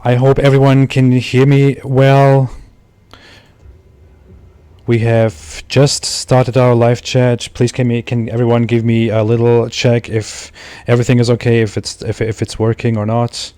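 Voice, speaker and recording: Flat-voiced male livestream host. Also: medium pitch, measured pace, clear, resonant tone, no echo. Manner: restarts, repeats words, stumbles. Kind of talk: livestream chat hosting.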